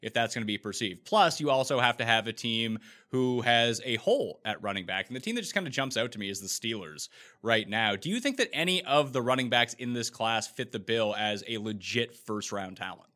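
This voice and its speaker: A man from Canada hosts a sports podcast in a bright, forceful voice. He talks in a relaxed, conversational way and sets up long questions for his guest. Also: medium pitch, a little fast. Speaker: podcast host.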